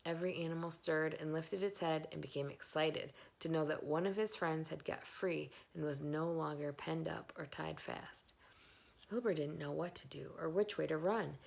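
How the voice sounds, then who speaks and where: A woman reading a children's book aloud in expressive scripted narration. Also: low voice, moderate pace, creaky. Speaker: story reader.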